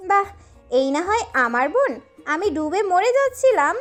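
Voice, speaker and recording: A woman's voice: extremely high pitch, very animated, measured pace, faint music behind, full character voice. A woman playing a shy, easily flustered young village woman in a romantic audio story, with a hesitant manner. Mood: sarcastic, annoyed.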